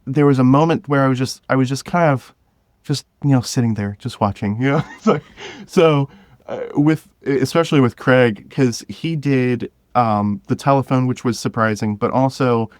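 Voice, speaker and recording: A man speaking as an interview guest, telling stories about directing in a casual, halting way with some chuckles. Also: mid-range voice, no echo, clean studio sound. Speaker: guest, director of an audio drama podcast.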